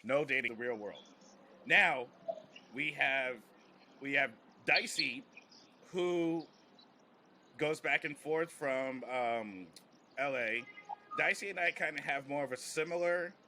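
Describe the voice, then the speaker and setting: A man's voice: medium pitch, bright, forceful tone, slight background noise. A man who works as a comedian, hosting a casual podcast chat in a rambling, joking, slangy way.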